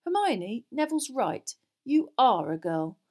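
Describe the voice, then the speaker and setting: Woman acting teenage schoolboy, blunt, impatient, sulky, raised pitch, swooping intonation, storybook dialogue. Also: very animated, a little slow, a little mellow. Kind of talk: children's fantasy audiobook dialogue.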